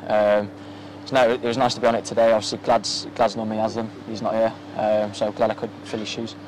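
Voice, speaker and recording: A young man, a footballer, giving a soft-spoken post-match interview outdoors at a floodlit ground at night, with many ums and restarts, into a handheld mic. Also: on the low side, flat delivery, fast talker, poor audio. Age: young adult. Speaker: professional footballer. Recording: outdoor ambience with faint noise.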